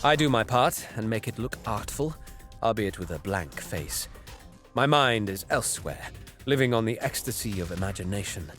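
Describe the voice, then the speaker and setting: A man's voice: lowish voice, expressive, normal speed, a bit bright, faint music behind. An actor playing a cruel, haughty alien raider prince who narrates his deeds with cold disdain in an audio drama. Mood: coldly savouring. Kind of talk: first-person dramatic narration.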